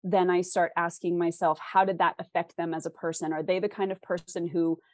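A woman's voice: fairly low pitch, little pitch movement, fairly quick, a little mellow, no echo. A woman with a West Coast American accent, explaining her ideas thoughtfully and articulately as an interview guest, often posing questions as she goes. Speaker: guest author.

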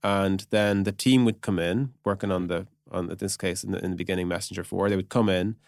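A man's voice: low-pitched, fairly even intonation, a little fast, a little mellow, no room sound, clean studio sound. A man speaking as a podcast guest, explaining things in an informal, chatty way with lots of conversational filler phrases. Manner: informal, peppers speech with "like" and "you know". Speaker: podcast guest, product executive. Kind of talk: casual explanatory chat.

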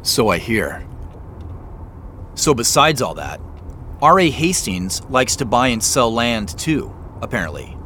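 A man's voice: mid-range voice, big pitch swings, a little slow, pauses often, pushed and bright, slight background noise. An actor playing a troubled, guarded man in an audio drama, reflective and earnest as he talks. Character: troubled, guarded man confiding in his sister and narrating. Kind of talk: audio drama acting and first-person narration.

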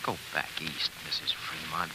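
Very hissy vintage radio drama audio carries a concerned army lieutenant. He is a low-pitched man, grave and courteous, with an earnest, halting manner. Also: flat delivery. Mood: sober, resigned. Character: earnest, concerned young army lieutenant bearing bad news. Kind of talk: 1940s radio drama acting. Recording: noisy, hissy 1940s radio recording.

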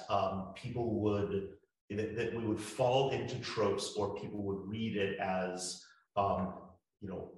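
A man speaking off the cuff in an interview as a creator, halting as he searches for words. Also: lowish voice. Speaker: podcast creator being interviewed. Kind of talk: unscripted panel interview talk. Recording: very echoey room.

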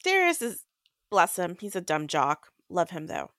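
A woman's voice: fairly low pitch, sing-song, a little slow, a bit bright, dry recording, clean studio sound. A woman co-hosting a podcast, casually discussing and recapping books. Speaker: podcast co-host.

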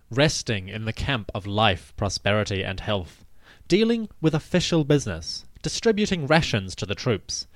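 History podcast guy, voice a bit deep, solemn scripted storytelling, really dry recording. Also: no echo. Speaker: podcast host and narrator. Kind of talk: scripted dramatic narration of ancient history.

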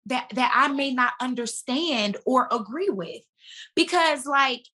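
Woman, interview guest, higher pitch, bright forceful tone, casual conversational delivery, emphatic storytelling. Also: lively intonation, a little slow.

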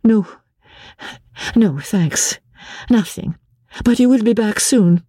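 A reader voicing an anxious young noblewoman who pleads with her husband in quick, nervous speech. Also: medium pitch, dark tone, breathy edge, dry recording, pitched above the performer's own voice. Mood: feverish, pleading. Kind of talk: audiobook character voice.

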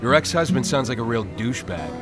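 Smooth-talking male stranger for podcast drama, male actor. Flirtatious, manipulative, lively pitch movement, casual coaxing delivery. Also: fast talker, over music, lightly acted. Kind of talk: naturalistic audio drama dialogue.

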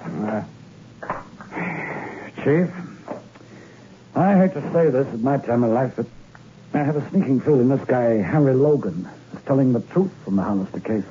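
A man's voice: mid-range voice, lively intonation, normal speed, long pauses, dark tone, natural voice. Tinny, noisy vintage radio: actor as a hard-boiled middle-aged cop, dry and clipped, world-weary but fatherly. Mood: musing. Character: gruff middle-aged police lieutenant, sardonic, world-weary, paternal. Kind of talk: 1960s radio crime drama acting. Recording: thin, noisy old radio recording.

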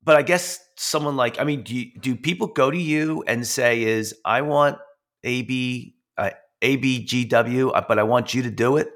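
A man hosting a podcast while he has a head cold, which leaves him nasal. He talks in a halting way, with many fillers and restarts. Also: medium pitch, fairly bright tone. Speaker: podcast host. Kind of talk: casual interview, reading sponsor spots.

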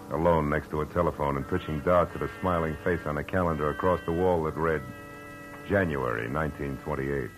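A man's voice: a bass voice, low-quality recording. A man playing a hard-boiled private detective in a 1940s radio drama, narrating and talking in a wry, clipped, world-weary way over music on an old broadcast.

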